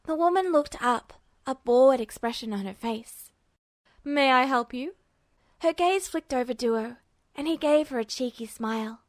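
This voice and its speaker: A woman narrates a piece of fiction from a script. Her voice sits fairly high, and the recording is dry with very little room sound. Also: lively intonation, moderate pace, darkish tone, dry recording. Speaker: narrator, podfic reader.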